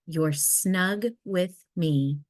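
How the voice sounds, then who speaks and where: Lady reading as a storybook mama bear, soothing n reassuring, slow, kinda low voice. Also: darkish tone, no room sound, clean studio sound. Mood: hushed, soothing. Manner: soothing, unhurried. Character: gentle, reassuring mother polar bear in a storybook.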